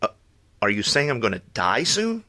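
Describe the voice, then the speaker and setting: An actor playing a sardonic, middle-aged superhero in an audio drama. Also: male voice, on the low side, huge pitch swings, moderate pace, pushed and bright, slight background noise, exaggerated voice. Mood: incredulous, agitated. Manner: sardonic, incredulous. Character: exasperated, sarcastic aging male superhero facing decline.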